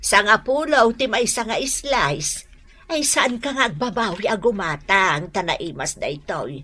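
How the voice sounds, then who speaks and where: A woman's voice: mid-range voice, expressive, unhurried, sharp tone, husky, exaggerated voice. A woman playing a friendly, gossipy older snack vendor in a comedic radio drama, with an Ilocano accent. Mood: friendly, grateful.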